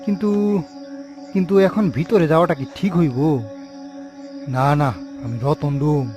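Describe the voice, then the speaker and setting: A man's voice: a little high, animated, normal speed, darkish tone, faint music behind, lightly acted. A man voicing a tipsy, uneasy morgue worker in a cartoon, muttering questions to himself. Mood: frightened, puzzled.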